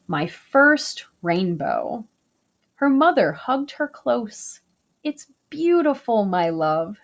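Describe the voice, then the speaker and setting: A woman reading a children's picture book aloud, slow, gentle and expressive. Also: mid-range voice. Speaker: storybook reader.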